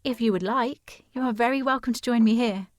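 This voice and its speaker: A woman's voice: a little high, brisk, close to an everyday voice. An actress playing a resolute, well-bred young woman in a period audio drama, with a formal, poised and earnest manner. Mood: inviting.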